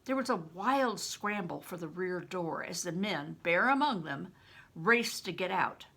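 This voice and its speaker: An older woman reading a children's novel aloud as a storyteller, with an expressive, dramatic and emphatic delivery. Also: on the low side, a little slow, husky. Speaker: retired reading teacher, storyteller.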